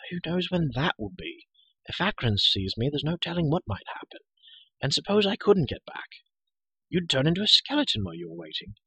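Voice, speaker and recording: A man voicing a brisk, self-assured, talkative young girl in a storybook, prim and matter-of-fact. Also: a little high, fairly bright tone, husky, dry recording, voice pushed up, full character voice, low-quality recording.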